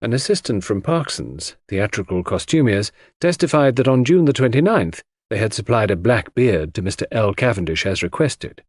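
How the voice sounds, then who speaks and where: A man narrates a detective novel in a measured, dramatic storytelling manner. His voice is husky and moves freely up and down in pitch, recorded very dry and close to silent surroundings. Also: expressive, dry recording. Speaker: audiobook narrator.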